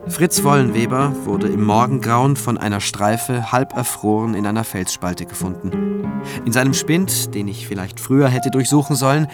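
A man's voice: medium pitch, moderate pace, close to an everyday voice. A male actor plays a shaken military prosecutor in a radio drama. He tells of his ordeal in a war zone as a man who lived through it. Music or the noise of battle runs behind his words. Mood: grave, reflective. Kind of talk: German radio drama, first-person narration and dialogue.

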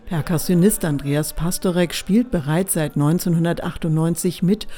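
A woman reporter reading a scripted radio feature in a calm, measured way. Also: lowish voice, faint music behind.

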